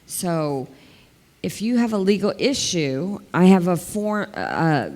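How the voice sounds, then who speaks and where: Woman, 50s, elder law attorney, big slow voice, lower pitch, long hall reverb on a headset mic. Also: animated, a little mellow. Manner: plain-spoken, explains step by step with examples. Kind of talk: community talk. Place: large hall, indoors.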